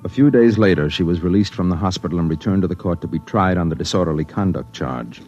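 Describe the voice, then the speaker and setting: A persistent newspaper reporter, played by an actor, tells the story plainly and pushes for access. His voice sits low. Music plays clearly under him on an old radio broadcast. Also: quick pace, darkish tone, muffled recording, lightly acted. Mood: narrating. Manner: plain, matter-of-fact storytelling. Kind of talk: 1950s radio drama narration.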